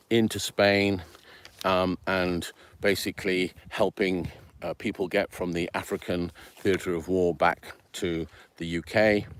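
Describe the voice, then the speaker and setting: A man's voice: sits low, no echo. A middle-aged man from Venezuela telling his life story in a rambling, casual way with many ums and uhs. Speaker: channel host telling his background.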